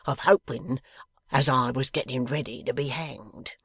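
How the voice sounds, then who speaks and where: A man's voice: medium pitch, steady tone, a little slow, a bit bright, no room sound. A man voicing a coarse, sly lock-keeper from a novel, growling with an insolent manner. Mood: sullen, angry. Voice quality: growling, gruff. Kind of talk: dramatised novel reading.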